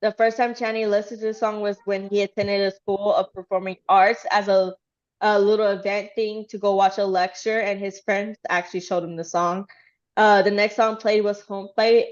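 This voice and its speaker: A woman's voice: medium pitch, lo-fi sound. A woman from Texas casually recapping a livestream in a chatty, rambling way with lots of fillers. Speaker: fan channel host.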